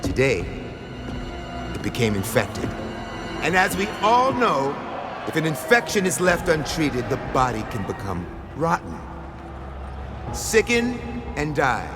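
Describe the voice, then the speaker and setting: An actor playing a menacing, grandiose crime lord in a game cutscene, speaking with pompous, theatrical deliberation. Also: male voice, fairly high pitch, wildly swooping pitch, a little slow, long pauses, over music. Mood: ominous, theatrical. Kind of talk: dramatic villain monologue in a game cutscene.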